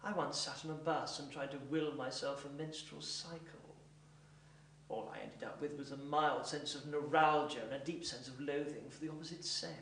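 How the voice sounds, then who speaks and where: Male actor as eccentric pompous man, deadpan comic monologue, deliberate dramatic pauses, echoey distant recording. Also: fairly high pitch, slight background noise. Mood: deadpan, confiding. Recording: echoey room, distant mic.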